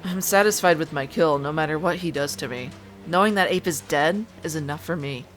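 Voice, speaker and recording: An actress playing a headstrong sniper trooper in a sci-fi military audio drama, blunt and self-assured. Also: female voice, deep voice, a little fast, loud music behind the voice, natural voice. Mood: defiant.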